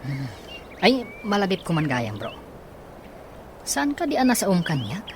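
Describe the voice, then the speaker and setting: Supportive young best buddy, Ilocano accent, radio serial style, faintly noisy track. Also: male voice, high-pitched, wildly swooping pitch, a little slow, heavily acted. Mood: consoling. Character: supportive young male buddy, consoling and upbeat. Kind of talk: Ilocano radio drama acting.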